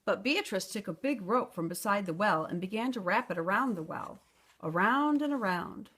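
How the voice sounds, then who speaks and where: Animated woman reading a children's story. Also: mid-range voice, normal speed, husky. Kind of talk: expressive children's read-aloud narration.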